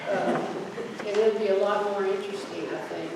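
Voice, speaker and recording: A woman on a community panel, talking in a halting, self-deprecating way with many filler sounds. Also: medium pitch, fairly even intonation, noisy background. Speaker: city council member and panelist. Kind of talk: panel presentation. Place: large echoey lodge hall. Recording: distant, echoey hall recording.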